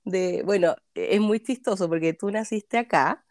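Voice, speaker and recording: A woman's voice: medium pitch, unhurried, darkish tone, no echo, studio quality. A Chilean woman with a Chilean Spanish accent, a podcast guest who is bubbly, enthusiastic, and laughs often. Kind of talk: casual chat.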